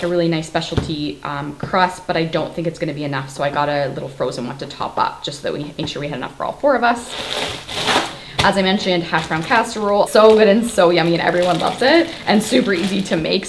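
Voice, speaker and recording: A woman from Canada in her thirties talks casually through her groceries at home, her voice sitting low for a woman. She speaks in a loose, chatty way with plenty of "like" and "um". A steady hiss of background noise runs under the recording. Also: low-quality recording. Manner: chatty, lots of "like" and "um" fillers. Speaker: vlogger. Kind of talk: casual grocery haul chat. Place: kitchen at home, indoors.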